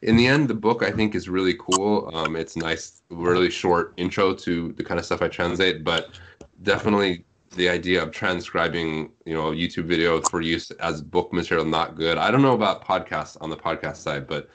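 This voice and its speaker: Very low male voice, casual podcast guest, rambling and self-deprecating, noticeable background noise. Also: steady tone, normal speed, fairly bright tone. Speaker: translator and author, podcast guest.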